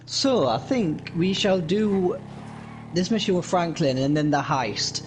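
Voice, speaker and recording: A British man in casual stream chat with a slightly high voice. He stumbles and restarts his sentences in an easygoing way. Faint game sound plays under him. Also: unhurried, a little hiss or hum. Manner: relaxed, stammering restarts. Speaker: co-streamer guest.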